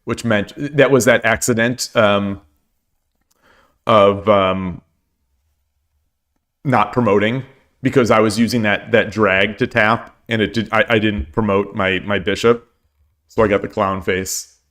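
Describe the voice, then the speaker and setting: A man with a hoarse voice streaming shogi, talking casually and thinking aloud as he looks over his games, often repeating his phrases. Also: lowish voice, fairly even intonation, measured pace, pauses often, a bit bright. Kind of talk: casual game commentary.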